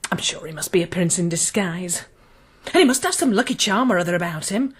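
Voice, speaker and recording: An actress playing an eager, superstitious young maidservant in a period radio drama, gossipy and excitable. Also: female voice, fairly low pitch, brisk, breathy edge. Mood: eager wonder.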